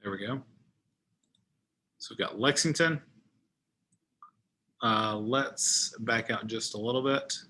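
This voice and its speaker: A man with a bright, forward voice at an ordinary male pitch leads an informal software tutorial. He talks like a trainer walking someone through a screen, thinking aloud as he goes. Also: slow pace, long pauses. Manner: thinks aloud while demonstrating. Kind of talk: informal screen-share walkthrough.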